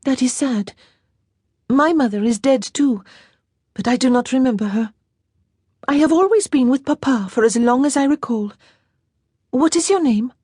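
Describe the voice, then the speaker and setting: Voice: high voice, expressive, normal speed, pauses often, mellow, slightly rough, no echo, clean studio sound, voice pushed up. A narrator voicing a playful, teasing young French girl in an audiobook, in a lilting, coquettish manner. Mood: curious. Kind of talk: audiobook character voice.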